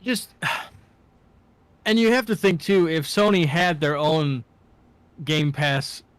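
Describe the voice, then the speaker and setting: A man's voice: fairly high pitch, animated, takes it slowly, smooth, dry recording. A man co-hosting a casual discussion, tentative and conversational, often checking in as he makes a point. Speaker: podcast co-host.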